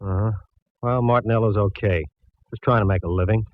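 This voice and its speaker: An actor playing a genial, teasing homicide inspector in a 1940s radio detective drama, joshing and slangy. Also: male voice, on the low side, steady tone, fairly quick, close to an everyday voice. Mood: easygoing. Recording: muffled, dry 1940s radio recording.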